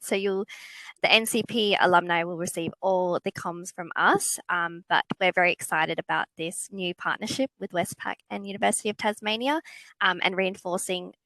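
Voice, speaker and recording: A woman, a communications manager, giving a brisk, upbeat introduction on a video call. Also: medium pitch, sharp tone, dry recording, rough recording.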